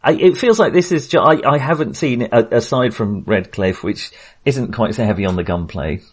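A man's voice: mid-range voice, normal speed, husky. A man in a casual film discussion, thinking aloud in a hesitant way and correcting himself as he goes. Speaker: film podcast host.

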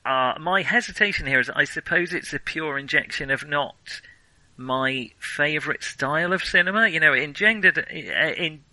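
Bright, crisp-edged man, thinking aloud. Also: a little high, moderate pace, no room sound, low-quality recording. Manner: hesitant, self-correcting, thinking aloud. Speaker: film podcast co-host. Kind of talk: casual film discussion.

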